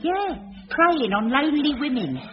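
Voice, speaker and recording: An actress playing a nosy, chatty restaurant waitress in a radio sitcom. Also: female voice, sits high, sing-song, a little slow. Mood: gossipy. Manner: gossipy, knowing. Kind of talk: 1980s radio sitcom acting. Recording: dull, hissy old radio broadcast.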